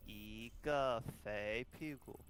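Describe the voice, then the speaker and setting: A man's voice: on the low side, takes it slowly, fairly bright tone. An American man on a voice call, patiently teasing and spelling words out. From United States. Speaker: gamer in voice chat.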